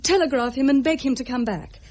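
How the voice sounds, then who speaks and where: Well-bred, anxious period wife: husky, slightly high, emotive and genteel. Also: female voice, a little fast, a little mellow. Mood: alarmed. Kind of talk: period audio drama acting.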